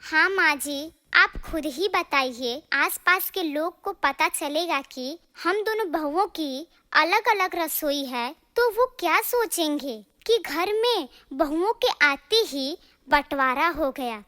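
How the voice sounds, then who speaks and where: A woman's voice: high voice, lively intonation, slow pace, bright, forceful tone, heavily acted. A woman voicing a worried, pleading daughter-in-law in a cartoon family drama. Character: worried daughter-in-law fearing neighbours' judgement.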